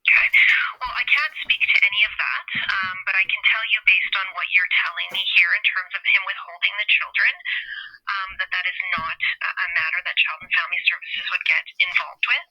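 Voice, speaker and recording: A woman's voice: fairly low pitch, a little fast, bright, forceful tone, breathy edge. A woman speaking on a phone call as an agency supervisor, firm and procedural. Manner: procedural, apologetic interjections, firm. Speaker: child welfare agency supervisor. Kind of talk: official phone conversation. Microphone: telephone.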